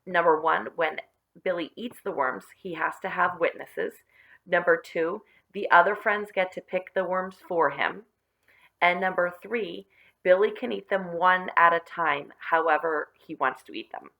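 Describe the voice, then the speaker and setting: A woman storyteller reading a children's book aloud expressively, with deliberate phrasing and stressed key words. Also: on the low side, gravelly, pushed and bright, rough recording.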